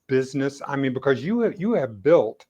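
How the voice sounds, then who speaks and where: A man hosting a conversational interview in a deliberate, encouraging manner. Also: mid-range voice, very animated, dark tone, slightly rough, muffled recording. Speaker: interview host.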